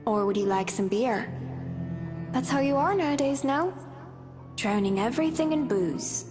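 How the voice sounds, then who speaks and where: A woman's voice: on the high side, expressive, moderate pace. An actress voicing a taunting, spectral woman from memory in a visual novel, with a ghostly reverb effect. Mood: cold, taunting. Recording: heavy reverb, music behind.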